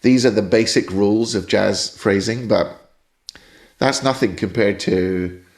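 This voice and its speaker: Lower-voiced British man explaining at length. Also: unhurried, darkish tone. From Scotland. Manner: explanatory, thoughtful, prone to tangents. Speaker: guest jazz saxophone teacher. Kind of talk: podcast interview answers.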